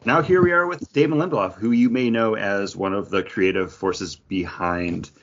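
An American man with a slightly low voice talks as a podcast co-host. His delivery is informal and conversational. The recording sounds a touch dull and muffled. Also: normal speed, a little hiss or hum. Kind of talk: casual, joking chat.

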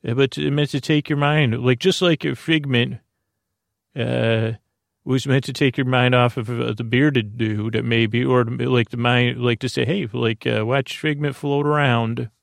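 A man's voice: medium pitch, steady tone, unhurried, vocal fry, no room sound, studio quality. A man hosting a bedtime podcast, talking in a soothing, lulling way and wandering off on tangents with many filler sounds. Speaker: podcast host. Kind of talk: rambling bedtime podcast intro.

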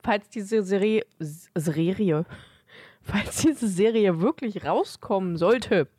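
A woman's voice: mid-range voice, slow, warm tone, husky, no echo. Casual woman podcaster, dry close sound. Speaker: podcast co-host. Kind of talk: casual chat.

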